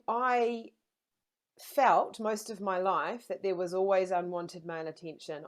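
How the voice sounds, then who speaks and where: A woman guest talking candidly in an interview, earnest and energetic, often repeating her phrases. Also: on the low side. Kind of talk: candid conversational storytelling.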